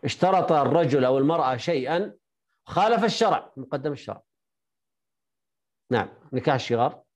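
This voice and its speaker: A man teaching a jurisprudence lesson online, briskly quizzing and correcting his students. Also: on the high side, animated, muffled recording.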